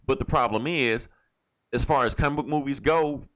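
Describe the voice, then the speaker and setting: A man's voice: mid-range voice, moderate pace, fairly bright tone. A man hosting a podcast with casual, opinionated movie chat, swearing freely and repeating phrases for emphasis. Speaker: podcast host.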